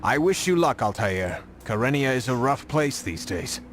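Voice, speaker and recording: A man's voice: mid-range voice, very animated, normal speed, a bit bright, a little hiss or hum. A man voicing a helpful, sober resistance contact in a video game, speaking earnestly and plainly.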